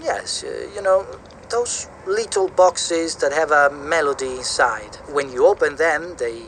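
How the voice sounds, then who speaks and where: A man's voice: on the high side, measured pace, fairly bright tone, a little hiss or hum, low-quality recording. An actor playing a hesitant man who searches for words as he patiently explains, in an audio drama interview. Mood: hesitant, explaining.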